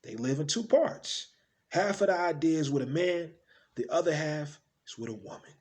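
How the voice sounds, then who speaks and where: A man voicing a gentle, wise father reassuring his young son in a monologue, soft and breathy, with slow, tender, measured delivery. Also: a little high, lively intonation, natural voice.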